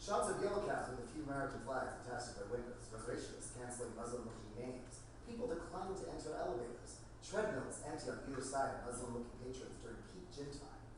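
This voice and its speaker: A man reading stage directions as scripted narration at a table read, halting now and then over the script. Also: mid-range voice, expressive. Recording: very echoey room, distant mic, faint noise.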